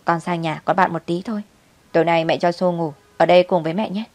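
A woman's voice: on the low side, fairly even intonation, fast, a little mellow, dry recording, lightly acted. A woman voicing a selfish, reckless young mother in a story, offhand and defiant. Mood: dismissive.

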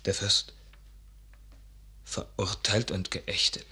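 An actor playing an idealistic, anguished young officer and son, impassioned and theatrical, in a 1950s German radio verse drama. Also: male voice, low-pitched, takes it slowly, heavily acted. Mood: stunned, fearful. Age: young adult. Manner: impassioned, theatrical, swinging from hushed to crying out.

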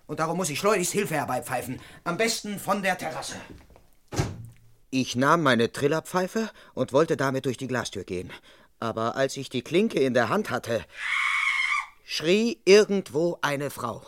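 Police constable, very animated intonation, exasperated, dogged, radio crime drama dialogue and narration. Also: male voice, fairly high pitch, unhurried, a little hiss or hum. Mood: brisk, irritated. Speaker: actor in a crime radio play. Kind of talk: German radio crime play, dialogue and first-person narration.

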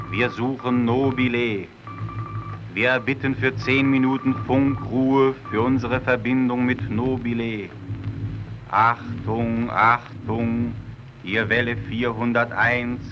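Actor as very slow, grave radio operator. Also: male voice, medium pitch, natural voice. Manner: measured, monotone calling. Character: grave male radio operator calling a lost airship. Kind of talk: old radio play, slow formal announcing. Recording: muffled old radio recording.

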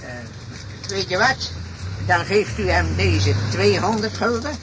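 A man's voice: high-pitched, unhurried. A man playing a gullible, middle-aged rich farmer, blunt, suspicious and grumbling, in a radio play. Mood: grudging. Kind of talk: Dutch radio play acting. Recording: noisy radio play recording.

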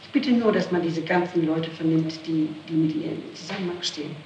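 A woman's voice: low-pitched, brisk, dark tone, highs cut off. A middle-aged woman answering questions in a recorded interrogation, emphatic, indignant and defensive, on an old tape. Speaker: accused being questioned. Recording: old echoey, noisy tape, distant mic.

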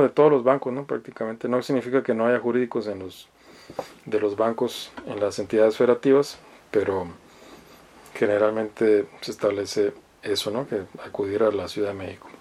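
Mexican man lecturing, halting and didactic. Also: lowish voice, a little mellow. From Mexicali, Baja California, Mexico. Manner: didactic, hesitant, often adding a questioning "¿no?". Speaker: law professor. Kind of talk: explanatory law lecture, reading articles aloud and commenting.

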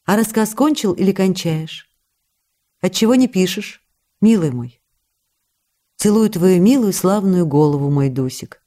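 A woman playing a loving, sociable actress writing to her husband, reading the letters for radio in an intimate, expressive, chatty way. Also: medium pitch, unhurried, long pauses, close to an everyday voice. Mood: affectionate.

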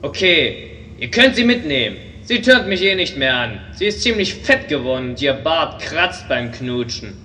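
An actor playing a mocking, bloodthirsty dwarf emperor, the villain of a fantasy parody audio drama. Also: male voice, fairly high pitch, sing-song, moderate pace, sharp tone, slight background noise. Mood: deadpan scornful. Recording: long echoing hall reverb.

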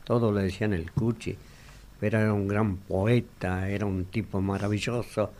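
Lower-pitched Argentine man, fondly reminiscing. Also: fairly even intonation, normal speed, darkish tone. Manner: fond, emphatic storytelling. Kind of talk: casual reminiscing on radio. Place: radio studio.

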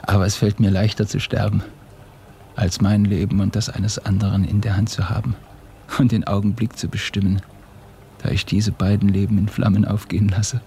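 Low-voiced, despairing revolutionary. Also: male voice, little pitch movement, normal speed, creaky, mellow, slight background noise. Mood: resigned. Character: ashamed, despairing Russian revolutionary losing his nerve. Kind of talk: German radio drama acting. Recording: studio radio play with music behind.

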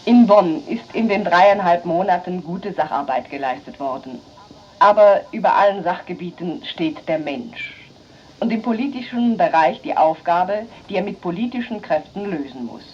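A woman's voice: lowish voice, expressive, moderate pace, a little hiss or hum. Woman on a dull old radio recording. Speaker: politician on radio. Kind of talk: formal radio statement from an archive recording.

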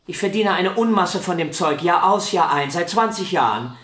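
A woman's voice: lowish voice, lively intonation, moderate pace, a bit bright. A woman voicing a vain, proud young violin prodigy in a radio drama, talking in an arrogant, insistent, theatrical way. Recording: roomy studio echo.

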